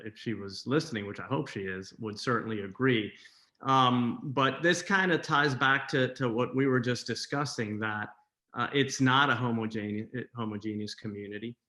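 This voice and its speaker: Bright-voiced young man, measured. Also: on the low side, steady tone. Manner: measured, explanatory, many fillers. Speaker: guest commentator. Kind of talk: video call interview. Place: home room. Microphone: video call.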